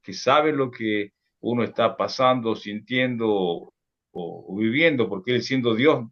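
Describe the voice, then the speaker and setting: A man's voice: medium pitch, unhurried. Hesitant man on a dull call line. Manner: hesitant, thinking aloud with fillers. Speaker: student. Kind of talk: class discussion.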